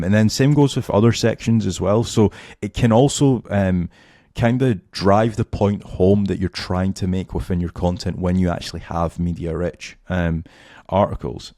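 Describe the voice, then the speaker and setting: A man explaining website tips in a casual, rambling tutorial at home, full of fillers and "right?" check-ins, his voice going hoarse. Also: low-pitched, warm tone, no room sound, studio quality. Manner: loose, filler-heavy, keeps checking in with "right?". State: losing his voice, a bit hoarse. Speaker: SEO tutor. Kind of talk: casual screen-share tutorial.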